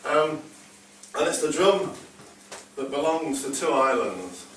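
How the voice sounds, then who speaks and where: A man's voice: fairly high pitch, animated, slow, fairly bright tone. A man, a drummer, chatting easily between pieces on a live stage, with hesitant ums and dry humour. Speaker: bodhrán drummer performing. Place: live stage in a large hall. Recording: echoey hall, far mic, background noise.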